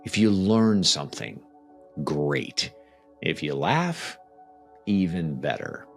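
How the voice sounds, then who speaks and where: A man's voice: low-pitched, big pitch swings, vocal fry, soft background music. A man hosting a podcast and reading his own writing, speaking earnestly and straight to the listener. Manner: earnest, deliberate, direct address to listener. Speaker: podcast host and author. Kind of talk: reading own memoir aloud, then casual podcast talk.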